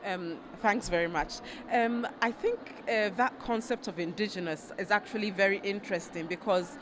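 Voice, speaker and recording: A woman from Ghana, a researcher and panel guest, speaking in a measured, thoughtful way with frequent fillers. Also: mid-range voice, noisy background. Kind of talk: panel conversation.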